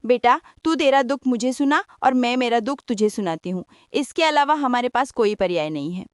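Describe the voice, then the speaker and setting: High, clear-voiced aggrieved daughter-in-law played by a woman. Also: expressive, normal speed, dry recording, studio quality, natural voice. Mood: wistful, aggrieved. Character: resigned, aggrieved elder daughter-in-law and mother. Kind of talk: Hindi audio story acting.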